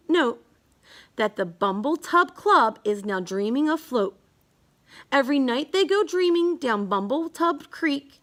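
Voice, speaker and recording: A woman reading a rhyming children's bedtime story aloud, halting now and then with small stumbles over words. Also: sits high, a bit bright. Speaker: storybook reader.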